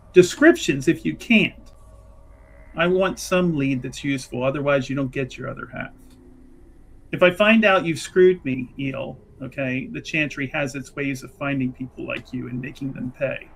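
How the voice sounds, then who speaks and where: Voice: mid-range voice, creaky, a little hiss or hum, poor audio. Someone voicing a formal, commanding holy Sister. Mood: firm, businesslike. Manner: formal, deliberate phrasing. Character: assured, pious Chantry Sister, formal and commanding.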